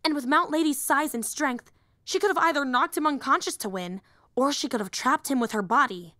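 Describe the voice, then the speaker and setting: A woman's voice: sits high, brisk, pushed and bright, no room sound, clean studio sound. A woman voicing a young, nerdy female hero fan and teacher in an audio roleplay, eager, rambling and easily flustered. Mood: earnest, rambling.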